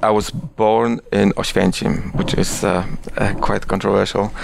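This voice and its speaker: A man's voice: fairly low pitch, level tone, a little slow, creaky, slight background noise. A man from Poland talking passionately in an interview, gesticulating as he speaks and restarting his sentences often. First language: Polish. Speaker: guest. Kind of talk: conversational interview.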